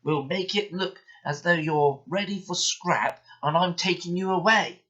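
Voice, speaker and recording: Kind, eager tank engine put on by a man reading aloud; bright, higher voice, dull lo-fi sound. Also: measured pace.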